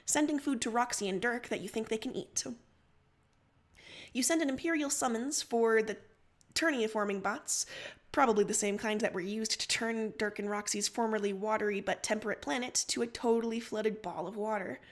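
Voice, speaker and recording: High-voiced woman reading a story. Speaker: livestream host reading fan fiction aloud. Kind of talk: expressive story narration.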